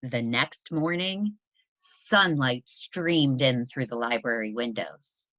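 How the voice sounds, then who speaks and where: A woman reading a children's story aloud in an expressive storytelling style, with deliberate, emphatic phrasing. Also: extremely low pitch, no echo. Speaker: presenter reading a children's picture book aloud.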